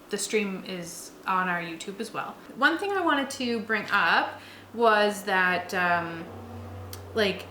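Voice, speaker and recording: A woman's voice: medium pitch, pushed and bright. A woman chatting casually as the co-host of a review. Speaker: co-host of a tabletop game review. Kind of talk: casual chat. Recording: roomy echo, faint background noise.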